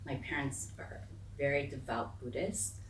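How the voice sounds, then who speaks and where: Low-voiced American woman, echoey room. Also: fairly even intonation, measured pace. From raised on US military bases, largely in South Korea. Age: 40s. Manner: candid, informal, digressive. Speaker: interview guest. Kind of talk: conversational life-story telling. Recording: echoey room, distant mic, faint noise.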